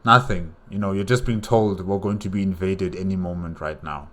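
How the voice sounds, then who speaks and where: A man's voice: deep voice, steady tone, normal speed, fairly bright tone. A man hosting a reaction, commenting casually and conversationally as he muses aloud. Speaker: reaction host.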